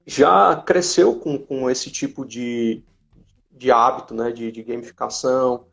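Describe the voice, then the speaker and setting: A man with a Brazilian accent talking casually on a live stream, explaining things haltingly and repeating his words. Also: mid-range voice, steady tone, vocal fry, fairly bright tone. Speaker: company representative co-hosting a live stream. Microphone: live video call. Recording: dull lo-fi live-stream audio.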